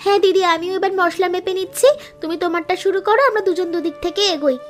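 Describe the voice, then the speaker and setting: Woman doing a very high, rapid-fire cartoon younger daughter-in-law, chirpy and eager, music behind. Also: steady tone. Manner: chirpy, quick, affectionate. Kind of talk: cartoon voice acting.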